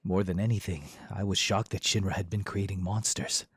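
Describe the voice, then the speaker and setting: A man's voice: low-pitched, steady tone, fairly quick, breathy edge, no room sound. An actor playing a somber young male mercenary in a video game, recalling his past in a subdued, reflective way.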